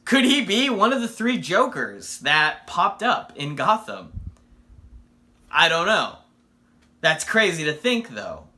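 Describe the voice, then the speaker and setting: A man's voice: high-pitched, big pitch swings, slow pace, bright, forceful tone. A man giving a casual, unscripted fan review as a video host, enthusiastic and conversational, often posing questions to the viewer.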